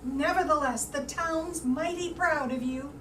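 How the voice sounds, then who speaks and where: A woman's voice: sits high, unhurried. A woman playing an earnest, flustered housemaid with a hesitant delivery in a staged play reading. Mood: flustered, admiring. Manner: hesitant, repeating words, earnest. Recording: distant mic, echoey room, background noise.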